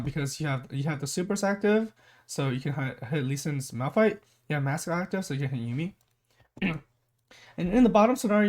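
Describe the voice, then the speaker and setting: Hoarse, halting young man explaining strategy. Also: on the high side, a little mellow. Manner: halting, many ums and uhs, clears throat often. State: sick, hoarse voice. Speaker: game strategy coach. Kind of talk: casual screen-share tutorial.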